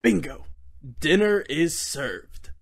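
Man playing tough mutant turtle, slangy, slow delivery, fairly high male voice, comic dub. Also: animated, vocal fry, full character voice. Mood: wry muttering. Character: gruff, street-tough mutant turtle vigilante. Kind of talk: amateur comic dub acting.

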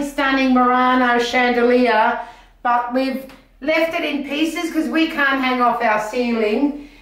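High-voiced Australian woman in echoey rooms. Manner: chatty, enthusiastic. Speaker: auction house presenter. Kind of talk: casual walk-through tour of auction items. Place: small indoor rooms of an auction house.

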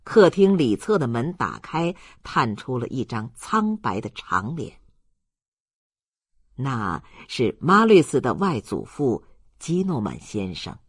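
An audiobook narrator reading a literary story in a measured, dramatic storytelling manner. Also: mid-range voice, big pitch swings, slightly rough, no room sound, studio quality.

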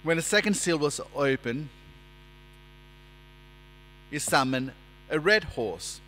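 A man preaches from a lectern in a church, measured and emphatic as he builds his points. His voice sits a little high and sounds bright and ringing. The long reverb of the hall trails each phrase, with a low mains hum underneath. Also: animated. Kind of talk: sermon. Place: church sanctuary, indoors. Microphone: lectern microphone.

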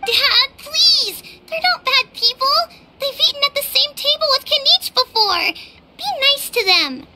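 A woman's voice: very high-pitched, lively intonation, a little slow, background noise, heavily acted. A woman voicing a spirited young girl, earnest and a little cheeky, in video game dialogue. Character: spirited young girl, a tribe elder's daughter.

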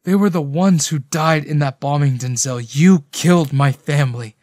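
A man voicing a bereaved, betrayed young male army nurse in a story, forceful and accusing. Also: fairly high pitch, normal speed, dry recording, clean studio sound, close to an everyday voice. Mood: furious, anguished.

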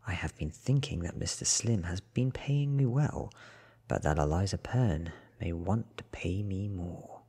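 Soft, breathy low-voiced man reading. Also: expressive, a little slow. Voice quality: soft, breathy, half-whispered. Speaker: audiobook reader. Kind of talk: soft-spoken ASMR book reading.

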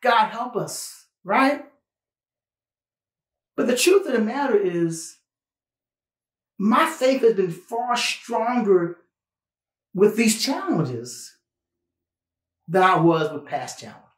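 A man in his fifties giving a personal, conversational sermon as a pastor, earnest and emphatic, with deliberate pauses and repetition. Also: sits high, sing-song, roomy sound. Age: 50s. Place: small indoor studio, plain backdrop.

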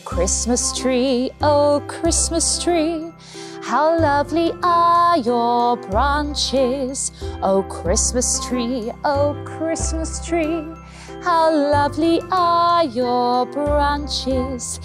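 A woman's voice: high voice, extremely slow. A young woman performing as a cheerful, theatrical pantomime sing-along leader, addressing viewers directly. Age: young adult. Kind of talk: children's presenter talk and Christmas carol singing. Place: indoor studio set with Christmas trees. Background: instrumental Christmas music.

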